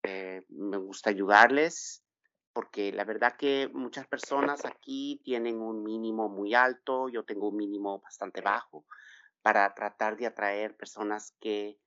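A middle-aged man from El Salvador who grew up in Texas, a financial advisor guest on a podcast, explaining things in a measured way with frequent "eh" fillers. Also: on the low side, steady tone, fairly bright tone, smooth, no echo, highs cut off. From El Salvador, raised in Texas. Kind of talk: podcast interview.

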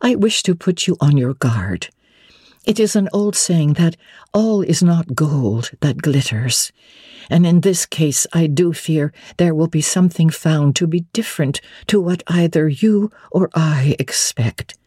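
A woman's voice: sits low, expressive, measured pace, mellow, husky, no room sound, studio quality, heavily acted. A woman voicing an elderly widowed housekeeper who is bewildered and cautious, speaking in a hesitant, fretful way in a classic novel reading. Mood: gently cautioning. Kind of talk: dramatic audiobook reading of classic literature.

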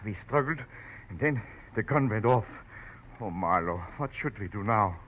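An agitated, pleading man of mother tongue, played by an actor in a vintage radio detective drama. His halting words come through a muffled recording with plenty of noise. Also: fairly low pitch, animated, natural voice. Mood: shaken. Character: anxious, pleading, deceitful male client with European air. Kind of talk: old-time radio drama acting. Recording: dull, noisy old radio recording.

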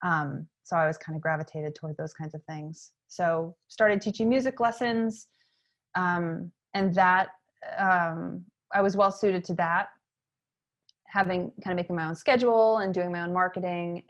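Chatty woman from Maine, low voice. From Maine, USA. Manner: chatty, frequent fillers, lively emphasis. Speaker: interview guest, entrepreneur. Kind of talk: casual conversational storytelling.

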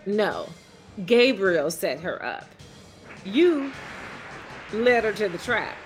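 A woman's voice: mid-range voice, big pitch swings, slow pace, music in the background. A woman playing a shrewd middle-aged mother in a drama, speaking in a folksy, knowing way. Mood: knowing, teasing. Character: shrewd, proud mother who needles knowingly. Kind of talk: scripted drama dialogue.

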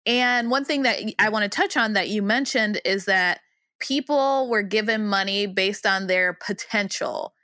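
A woman's voice: mid-range voice, unhurried, pushed and bright, no room sound, clean studio sound. A woman hosting a podcast, responding and asking questions in a conversational interview style.

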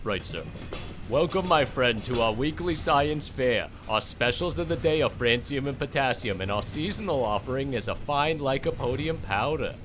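An actor playing a deadpan sheriff who greets customers like a shopkeeper. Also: male voice, medium pitch, normal speed, over music, lightly acted. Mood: salesy. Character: dry male sheriff acting as shopkeeper. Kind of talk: audio drama acting.

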